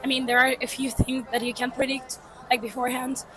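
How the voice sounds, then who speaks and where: A woman's voice: sits high, little pitch movement, brisk, fairly bright tone, rough recording. A woman from Ukraine, a scout leader, speaking frankly and at length on the street. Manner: frank, flowing complaints. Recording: busy crowd behind.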